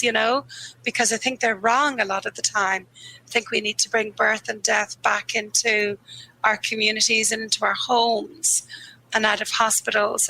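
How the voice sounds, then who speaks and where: Bright, croaky-voiced woman therapist. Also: fairly high pitch, low-quality recording. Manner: earnest, candid, laughs easily, slows on key phrases. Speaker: grief therapist, guest. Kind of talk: reflective conversational interview.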